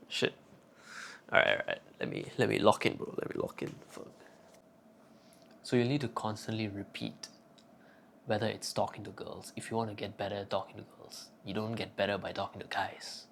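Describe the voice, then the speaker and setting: A young man talks straight to camera with cocky, crude humor, handing out blunt advice. His voice sits low and carries a gravelly fry. Faint noise hums underneath. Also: lively intonation, normal speed, fairly bright tone. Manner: cocky, profane, joking bravado. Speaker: self-improvement vlogger. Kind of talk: casual crude advice monologue.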